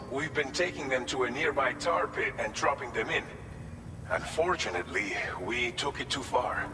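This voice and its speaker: A man plays an earnest official of a post-apocalyptic settlement in a game cutscene. His mid-pitched voice is polite and slightly hesitant, with a grateful air. Clear rumbling ambience sits behind him. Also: noisy background, lightly acted. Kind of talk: video game cutscene acting.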